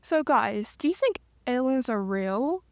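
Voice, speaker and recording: A woman's voice: fairly high pitch, sing-song, measured pace, no echo. A young woman playing a sassy, self-assured podcast co-host with a chatty, condescending manner. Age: young adult. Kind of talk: chatty mock podcast in radio drama.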